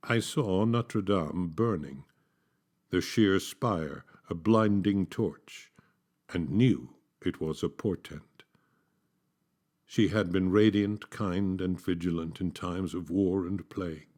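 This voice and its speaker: Slow, low-voiced man reciting solemn poetry. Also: darkish tone. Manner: solemn, measured. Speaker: actor reading poetry.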